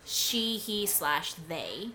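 A woman's voice: lowish voice, very animated, extremely slow, sharp tone, a little hiss or hum, rough recording. A woman co-hosting a podcast from home, chatting casually, laughing often and filling with "like". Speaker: podcast co-host. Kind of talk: casual chat.

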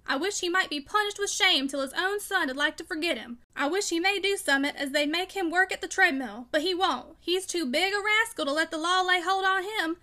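A woman's voice: high voice, a little fast, pushed and bright. A woman voicing a fierce, bitter, broken old father with peremptory rustic speech in a storybook reading. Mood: fierce, vengeful. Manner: peremptory, emphatic, dialect speech. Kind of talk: audiobook character dialogue.

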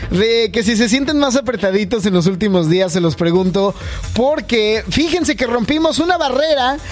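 A man's voice: high-pitched, moderate pace, faint music behind. Jokey male radio host. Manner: jokey, slangy and animated. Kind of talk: casual radio chat.

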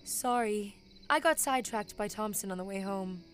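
A woman's voice: mid-range voice, normal speed, smooth, lightly acted. A woman playing an anxious, self-loathing teenage girl, hesitant and self-deprecating, in an audio drama. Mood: bitter, subdued.

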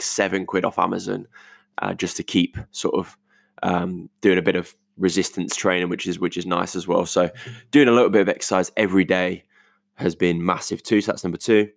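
A young British man with a croaky voice recording a casual, reflective voice note at home, rambling informally as he thinks aloud. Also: deep voice, dry recording, clean studio sound. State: croaky voice today. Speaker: podcast host. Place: multi-purpose living room at home.

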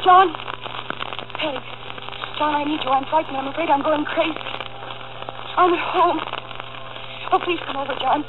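A woman's voice: sits high, moderate pace, pauses often, close to an everyday voice. An actress playing a frantic, frightened woman in an old radio drama, breathless and overwrought, repeating short phrases. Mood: frightened pleading. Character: terrified, frantic woman on the run from police. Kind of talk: 1940s radio drama acting. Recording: scratchy, crackling, tinny old radio recording.

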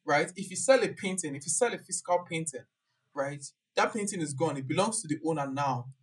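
A woman hosting a casual chat, animated, laughing and restarting her sentences often. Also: low-pitched, a little fast. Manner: animated, laughs, talks with many fillers and restarts. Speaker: podcast host.

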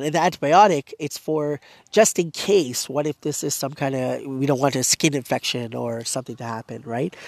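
A man's voice: medium pitch, a little mellow, no room sound. A man hosting a podcast, talking casually and earnestly with a blocked nose and a fading voice, full of fillers. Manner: rambling, filler-heavy, earnest. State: congested and losing his voice. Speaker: podcast host. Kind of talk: casual personal monologue.

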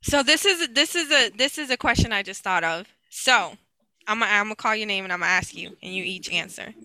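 Bright-voiced woman in her thirties. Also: mid-range voice, lively intonation, a little fast, dry recording, poor audio. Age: 30s. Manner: hesitant with fillers, laughs often. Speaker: host asking family questions. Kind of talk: casual family chat. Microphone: video call.

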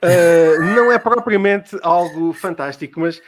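A man's voice: high voice, unhurried. A man with a European Portuguese accent, hosting a comedy news show in a casual, chatty presenting style.

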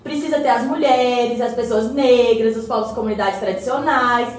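A woman's voice: a little high, steady tone, normal speed, a little mellow, lightly acted. A woman playing a chatty, keen community member in a radio soap, informal and eager. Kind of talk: scripted radio soap, casual dialogue. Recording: very echoey, distant mic, faint noise.

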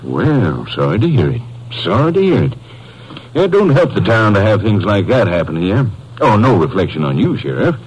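A desperate, evasive father in a 1950s radio drama. His husky voice swings widely in pitch as he stammers and pleads in folksy phrases. The old radio recording frames him. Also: very animated, normal speed, rough recording. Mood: affable. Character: anxious retired oilman, widowed father, evasive and desperate.

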